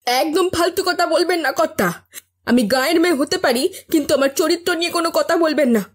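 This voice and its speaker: An actress playing a young, wronged village maid, pleading yet defiant, in an audio horror drama. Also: female voice, high voice, brisk, lightly acted. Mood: indignant, defiant. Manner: indignant, tearful pleading.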